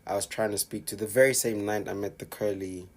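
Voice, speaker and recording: A man with a somewhat low, husky voice talks casually on a podcast. He tells stories loosely, circling back and restarting his phrases, and throws in local slang. The feel is relaxed conversation between friends. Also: fairly quick. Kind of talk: casual chat.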